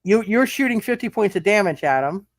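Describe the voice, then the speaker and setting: A game master with a mid-range voice narrates a tabletop roleplay session. The delivery is halting, circling back over words and repeating them while working out rulings. The sound is close and dry. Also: mid-range voice, expressive, dry recording.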